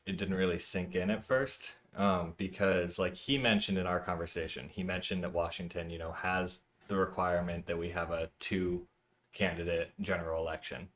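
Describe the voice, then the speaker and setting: A man's voice: deep voice, level tone, moderate pace, a bit bright. A man hosting an interview, setting up questions in an earnest, explanatory way. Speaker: channel host, interviewer.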